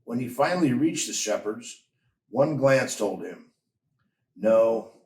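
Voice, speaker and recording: A man reading a story aloud in a measured, expressive storytelling manner. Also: mid-range voice. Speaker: story reader. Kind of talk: scripted storybook narration. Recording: roomy echo, distant from mic.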